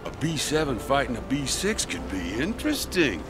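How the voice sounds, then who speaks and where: Sly, teasing street stranger, lively voice over music. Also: male voice, medium pitch, normal speed. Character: wisecracking, sinister male stranger on the street. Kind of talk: 1960s-style radio drama acting.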